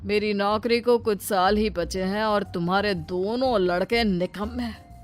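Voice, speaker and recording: A woman's voice: medium pitch, fairly even intonation, unhurried, faint music behind, natural voice. A woman voicing a worried, fed-up middle-aged husband in a moral story, grumbling. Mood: weary grumbling.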